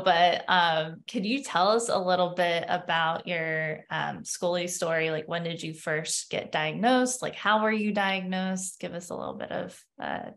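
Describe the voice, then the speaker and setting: A woman's voice: on the low side, fairly bright tone. A middle-aged woman hosting a podcast in a conversational interview style over a video call. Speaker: podcast host, doctor. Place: indoor room, video call. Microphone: desktop microphone on a video call.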